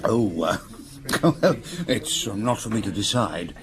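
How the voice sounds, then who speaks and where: Jovial, animated village doctor. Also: male voice, fairly low pitch, unhurried, noisy background. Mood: evasive, amused. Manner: chuckling, hesitant, wry. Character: jovial, evasive, fond-hearted village doctor, a likeable scoundrel. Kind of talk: radio drama acting.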